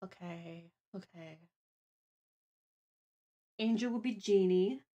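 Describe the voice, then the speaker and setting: Woman, livestream host, casual chat, medium pitch, slow and deliberate, thinking out loud. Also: muffled recording. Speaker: stream host.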